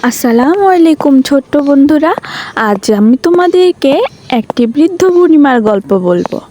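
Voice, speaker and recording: A woman's voice: high-pitched, lively intonation, measured pace, mellow, a little hiss or hum. A woman narrating a scripted story for young children as a storyteller.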